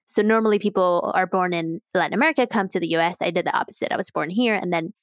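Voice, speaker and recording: A woman's voice: on the low side, fast talker, no room sound, studio quality. A woman from Mexico City telling personal stories as a podcast guest in an informal, candid way. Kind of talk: casual personal storytelling.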